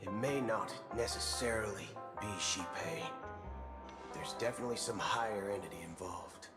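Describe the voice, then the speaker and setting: An actor voicing a brash, cynical, scheming cowboy ranger in a video game, with loud music under him. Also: male voice, normal speed, lightly acted. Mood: cynical, musing. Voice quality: low-pitched. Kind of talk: video game dialogue acting.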